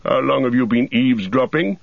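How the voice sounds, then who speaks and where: A man's voice: medium pitch, fairly quick, vocal fry, dry recording, muffled recording, pitched above the performer's own voice, close to an everyday voice. A man playing a stern old wizard. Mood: grave counsel. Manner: grave, commanding.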